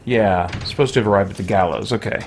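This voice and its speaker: A man's voice: lowish voice, fast, noisy background. An American man chatting casually and in a rambling, laid-back way as he streams a game from his home office on a microphone with a pop guard. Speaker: let's play streamer. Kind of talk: casual gameplay commentary, chatty.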